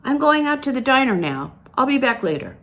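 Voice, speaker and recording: A woman's voice: high voice, big pitch swings, fairly quick, fairly bright tone, pitched above the performer's own voice, exaggerated voice. A woman voicing a confident, self-satisfied young businessman in a storybook reading, with an assured, knowing manner. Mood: breezy.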